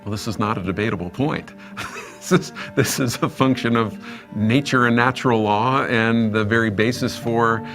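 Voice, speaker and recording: A man's voice: mid-range voice, normal speed, a bit bright, faint music behind. A man stating his point in a matter-of-fact, assured way in an interview. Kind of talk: documentary interview.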